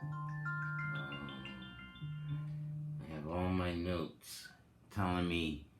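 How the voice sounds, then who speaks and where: A man speaks slowly and emphatically in a bright, forceful voice, like someone delivering a dramatic reading. His delivery is deliberate and reflective, and the room around him adds a clear echo. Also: sits low, big pitch swings, faint music behind. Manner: deliberate, emphatic, with pointed rhetorical questions. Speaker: host giving a reading and commentary. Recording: roomy, echoing sound.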